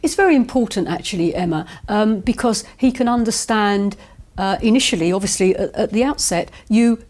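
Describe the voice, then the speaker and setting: Woman, property agency owner, interview answers, measured, businesslike, hesitant fillers. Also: medium pitch, lively intonation, a little mellow.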